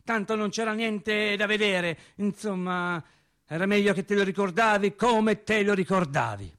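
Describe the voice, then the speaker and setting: An actor playing a bitter, sardonic factory worker in a theatrical radio monologue, speaking emphatically and colloquially. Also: male voice, sits high, a bit bright. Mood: angry. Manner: emphatic, colloquial, sarcastic, with deliberate repetitions. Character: bitter, sardonic Italian factory worker recounting workplace deaths. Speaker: stage actor.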